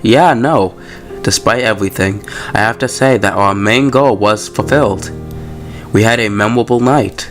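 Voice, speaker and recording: A man's voice: lowish voice, normal speed, soft background music. A man hosting a gaming video, casually commenting and reading story text aloud in an informal, slangy way.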